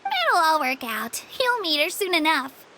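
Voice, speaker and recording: A woman's voice: sits high, very animated, unhurried, heavy background noise. A woman voicing a cheerful, easygoing young girl guide in a fantasy video game, friendly and reassuring. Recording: game music behind.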